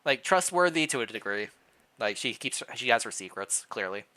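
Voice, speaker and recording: Bright-voiced man reading a story aloud. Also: medium pitch, animated, normal speed. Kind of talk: live story reading with casual commentary.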